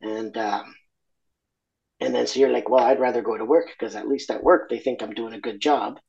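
Reflective man from Argentina, halting speech. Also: medium pitch, fairly even intonation, vocal fry, darkish tone, lo-fi sound. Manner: reflective, halting, many fillers and restarts. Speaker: guest. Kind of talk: candid interview conversation.

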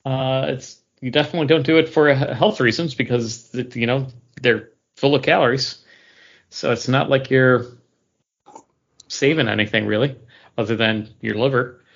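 A man's voice: mid-range voice, normal speed, gravelly, a bit bright. Lo-fi podcast man, rambling and conversational. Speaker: podcast co-host. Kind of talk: casual chat, drink review. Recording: dull lo-fi recording.

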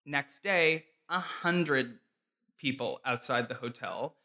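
A man's voice: mid-range voice, slow pace, bright, forceful tone. A man co-hosting a casual chat, telling an anecdote animatedly, laughing often and using "like" a lot. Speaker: podcast co-host.

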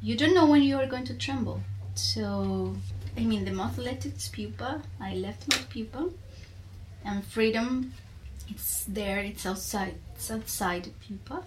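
A woman's voice: on the high side, measured pace, background noise. A woman from Colombia with a Colombian accent and Spanish as her first language, speaking reflectively in an interview and searching for words. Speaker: podcast guest.

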